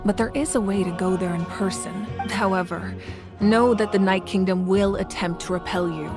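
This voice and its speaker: An actress plays a dignified female ruler in a fantasy game. Her mid-pitched voice is measured and commanding, with warmth and resolve, over faint music. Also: fairly even intonation, lightly acted. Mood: grave, explaining. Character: composed, commanding female nation leader, warm and resolute. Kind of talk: anime video game voice acting.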